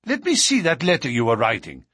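A man's voice: huge pitch swings, fairly quick, a bit bright, breathy edge, no echo, studio quality, exaggerated voice. A man voicing a frightened, devoted young woman who pleads urgently in a raised voice, in an audiobook reading. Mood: accusing. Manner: high, tearful, urgent pleading.

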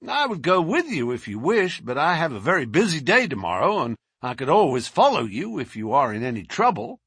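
A man's voice: on the high side, very animated, husky, no room sound, voice pushed up, full character voice. A man voicing a brash American lawyer who pushes hard and talks in a hurry. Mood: pushy. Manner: forceful, hurried. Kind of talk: audiobook character reading.

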